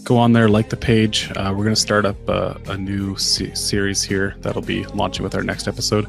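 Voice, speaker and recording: Brief: male co-host, gravelly low voice, relaxed joker, faint music behind. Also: normal speed. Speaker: podcast co-host. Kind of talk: casual podcast chat.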